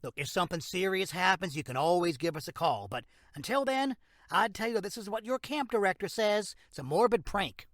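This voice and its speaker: A man's voice: high-pitched, fairly quick, fairly bright tone, dry recording, studio quality. A man acting as a frank, condescending small-town sheriff in an audio drama. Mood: curt, brushing off. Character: dismissive, bureaucratic small-town male sheriff.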